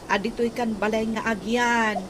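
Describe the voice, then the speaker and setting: A woman plays a blunt, scolding grown daughter in an Ilocano radio comedy drama. Her voice is moderately high, with an Ilocano accent. Heavy noisy ambience surrounds it. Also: fairly even intonation, normal speed, lightly acted. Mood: complaining.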